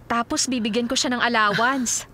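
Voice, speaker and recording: A woman's voice: a little high, fairly even intonation, normal speed, pushed and bright. A woman playing a devoted, hardworking young wife in a radio drama, speaking in an upbeat, respectful manner. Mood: cheerful, reassuring. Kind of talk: Filipino radio drama acting.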